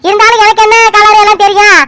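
A woman's voice: very high-pitched, little pitch movement, fast, pushed and bright, a little hiss or hum. A woman voicing a proud, blunt elderly mother-in-law who talks in a dismissive, scolding way in a family skit. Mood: scoffing.

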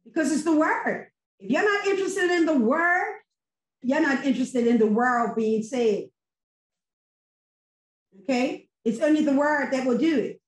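A middle-aged woman speaks in a high voice, slowly and deliberately, with preacherly fervor. She presses her points with emphatic rhetorical questions. Her webcam audio on the video call has a roomy echo. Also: expressive. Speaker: church member giving sermon response. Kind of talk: impassioned post-sermon testimony. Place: home room with virtual background. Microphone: laptop webcam on video call.

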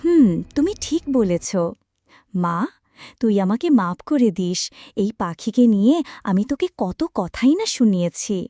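A woman as a scolding village mother, animated. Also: mid-range voice, measured pace, no echo, clean studio sound, lightly acted. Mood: realising, remorseful. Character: busy village mother, scolding but soft-hearted.

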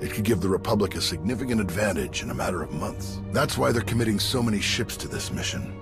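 An actor playing a wise, solemn alien master in a video game, with a measured, formal delivery. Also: male voice, lowish voice, expressive, music in the background. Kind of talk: scripted video game acting.

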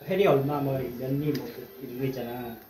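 A man chatting casually over a meal at a restaurant, thinking aloud in a relaxed way with fillers. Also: mid-range voice, fairly quick. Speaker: friend at the table. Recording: distant voice in a noisy echoey restaurant.

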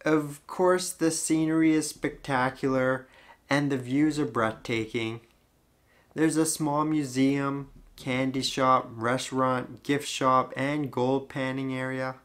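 Slow, easygoing male travel vlogger. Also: mid-range voice. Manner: relaxed, easygoing narration with light laughs. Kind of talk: travel vlog narration.

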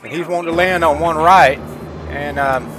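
A man's voice: fairly high pitch, normal speed, fairly bright tone, faint music behind. A middle-aged man giving casual, folksy gameplay commentary, chatting informally to his viewers. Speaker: gaming streamer. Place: indoors at a computer desk, webcam.

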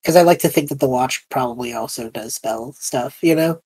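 A man's voice: mid-range voice, a little fast, darkish tone, breathy edge. A man playing a tabletop game, describing his character's moves in casual chat.

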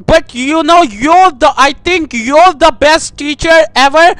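A man making a comedic prank phone call, talking in a halting, coy, over-affectionate way. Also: very high voice, fairly bright tone, dry recording. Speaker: prank caller.

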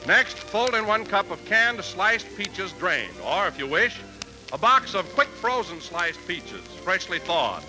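High-voiced male 1940s radio announcer. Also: sing-song, unhurried, rough recording. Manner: enthusiastic sales patter. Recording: vintage radio broadcast, music and audience behind.

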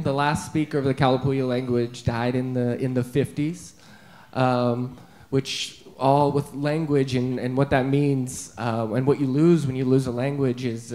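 A man's voice: mid-range voice, darkish tone. A man hosting an informal circle discussion on stage, introducing the questions. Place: theatre stage in an auditorium.

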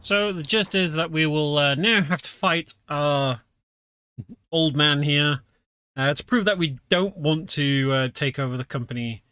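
A man's voice: on the high side, measured pace, smooth, no echo. British man casually commentating gameplay. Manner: relaxed, many fillers, explanatory. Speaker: streamer. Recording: game music behind.

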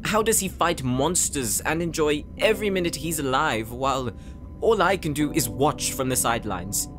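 An actor playing an earnest, insecure young knight in an audio roleplay, speaking gently and hesitantly, as if confiding in his sister. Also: fairly high pitch, animated, moderate pace, over music, lightly acted. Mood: wistful, jealous. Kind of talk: audio roleplay drama, intimate monologue.

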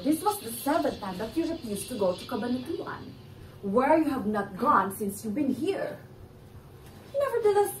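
Woman acting shrewd, high-voiced young interrogator, echoey. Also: a little mellow, a little hiss or hum. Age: young adult. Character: shrewd, probing woman, taunting and self-assured. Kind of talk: stage play acting. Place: indoor theatre set, far camera. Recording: echoey distant stage recording with music.

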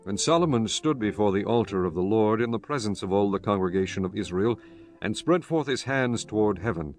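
A man narrating scripture from a script in a measured, formal way. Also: fairly low pitch, expressive. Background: music.

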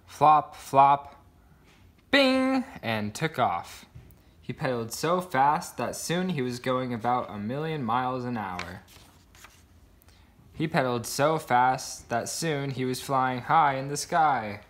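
A man's voice: mid-range voice, expressive, takes it slowly, a bit bright. A man reading a children's picture book aloud, voicing the sound words expressively. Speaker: storybook reader.

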